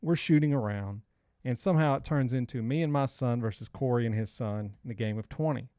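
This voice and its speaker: A man's voice: medium pitch, a little fast, warm tone, smooth, no room sound. Male podcast co-host, 40s, mid-range voice, loose slangy storyteller, close dry mic. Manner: informal, slangy, rambling. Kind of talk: casual banter and storytelling.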